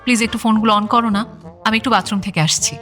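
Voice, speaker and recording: An actress playing a playful, strong-willed young girlfriend in a radio drama, very expressive. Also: on the high side, fast talker, soft background music, lightly acted. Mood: teasing.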